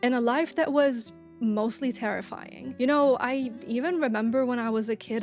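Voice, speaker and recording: Young woman, Lebanese accent, Arabic native, slightly high voice; sincere, personal YouTube address over faint music. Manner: earnest, heartfelt direct address. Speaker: gaming YouTuber. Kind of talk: personal vlog to camera.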